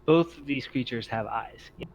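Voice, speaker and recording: A man acting as game master, improvising tabletop game narration in halting, piecemeal descriptions. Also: medium pitch. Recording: dull lo-fi audio, noisy background.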